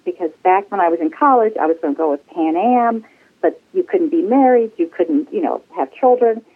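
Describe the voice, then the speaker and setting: Sixties woman, bubbly guest, mid-pitch, thin phone-line sound. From United States. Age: 60s. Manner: bubbly, laughs often. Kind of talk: casual interview. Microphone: phone call.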